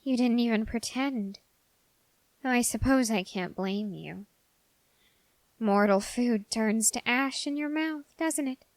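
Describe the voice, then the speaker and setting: A woman voicing an ambitious, dark-hearted young man in an ASMR roleplay, speaking in formal, halting phrases with drawn-out pauses. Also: a little high, no room sound. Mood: hushed, longing. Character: ambitious, dark-hearted young male human bargaining with a demon.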